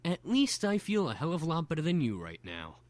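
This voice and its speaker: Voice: medium pitch, wildly swooping pitch, brisk, a little mellow, no echo. An actor playing a brash, impulsive, hot-headed young man in a visual novel, cocky and defensive. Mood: surly.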